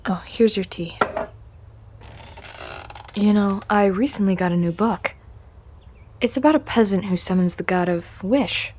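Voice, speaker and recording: A woman speaking gently and quietly in a scripted roleplay, as a storyteller reading aloud. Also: medium pitch, unhurried, long pauses, a little hiss or hum.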